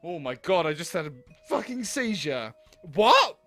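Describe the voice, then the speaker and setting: High, lively man reading a game aloud. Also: unhurried. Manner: theatrical, giggly, profane asides. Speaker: gaming video host. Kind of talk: playful read-aloud commentary of a visual novel. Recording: music behind the voice.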